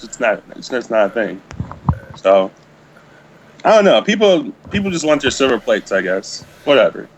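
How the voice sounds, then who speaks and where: A man's voice: mid-range voice, very animated, moderate pace, slight background noise, poor audio. A man chatting casually on a podcast, in a wry, dismissive way. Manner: wry, dismissive, prone to laughing. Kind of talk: casual chat.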